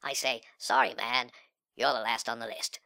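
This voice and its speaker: A man performing a dramatic monologue as a sullen, cocky, nihilistic young slacker, with breathy, slangy, sneering delivery that swings between muttering and outbursts. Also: mid-range voice, big pitch swings, normal speed, bright, forceful tone, heavily acted. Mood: cocky, agitated. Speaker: reader of a literary monologue. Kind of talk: dramatic first-person monologue in slangy speech.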